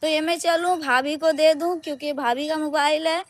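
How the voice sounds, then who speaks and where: Hoarse, high-voiced woman vlogger. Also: fairly even intonation, moderate pace. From Uttar Pradesh, India. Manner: chatty, addresses viewers as guys. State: hoarse, sore throat and coughing. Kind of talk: casual vlog to camera. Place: outdoors at a crowded temple and at home.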